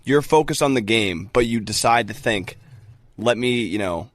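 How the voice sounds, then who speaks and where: A man's voice: medium pitch, expressive, moderate pace, no echo. A man on a podcast panel, debating sports casually in a questioning, challenging way.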